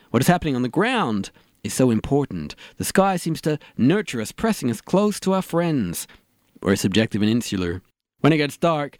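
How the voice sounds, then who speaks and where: A man's voice: medium pitch, darkish tone, no echo, natural voice. A man plays an eager, shallow buddy in a radio comedy. His mid-range voice moves up and down a great deal, casual in manner and recorded dry and close. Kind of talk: scripted comedy radio play.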